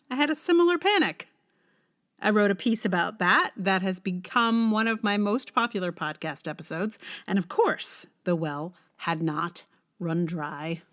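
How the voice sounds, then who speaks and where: A middle-aged woman hosts a personal writing podcast. Her voice sits at an average pitch for a woman. She speaks conversationally and expressively, leaning on key words as she goes. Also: fairly bright tone. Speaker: podcast host and writer. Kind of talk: personal podcast, chatting and reading own blog aloud.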